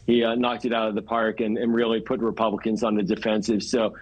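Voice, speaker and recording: An American man speaking as a political analyst in a live TV news interview, in a measured way with hesitant, repeated words. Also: lowish voice, level tone, low-quality recording.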